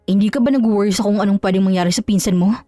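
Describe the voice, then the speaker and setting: An earnest, concerned husband in an audio drama, as a female narrator plays him. The voice sits lowered and measured. The recording is dry, with music behind it. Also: fairly even intonation, dark tone, dry recording, close to an everyday voice. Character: calm husband, earnest and concerned adult man.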